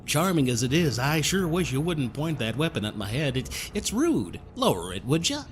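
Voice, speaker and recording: A man playing a suave gentleman stranger in an audio drama, florid, over-polite and slyly mocking. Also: on the high side, sing-song, moderate pace, slight background noise. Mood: wry, unruffled.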